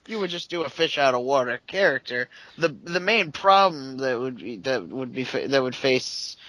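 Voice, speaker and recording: A man's voice: medium pitch, lively intonation, moderate pace, fairly bright tone, no room sound, rough recording. A man as guest on a casual gaming chat, sarcastic and swearing casually. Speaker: podcast guest.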